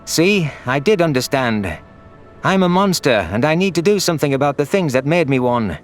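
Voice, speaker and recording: A man's voice: on the high side, animated, moderate pace, soft background music, lightly acted. A man voicing a bitter, defensive young male alien soldier, pleading intensely in a sci-fi audio drama.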